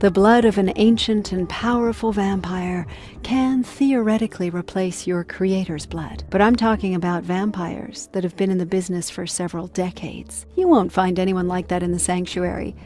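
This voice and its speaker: An actress playing a cool, assured female vampire mentor in a video game, speaking in a measured, slightly formal way. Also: on the low side, steady tone, darkish tone, faint music behind, natural voice. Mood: composed, instructive. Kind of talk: video game cutscene acting.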